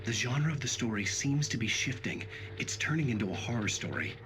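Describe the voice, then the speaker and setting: An actor playing a haunted, obsessive writer delivering an uneasy first-person monologue in a horror game. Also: male voice, mid-range voice, animated, a little fast, over music, close to an everyday voice. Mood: anxious, obsessive. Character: haunted, obsessive male writer confessing his unraveling.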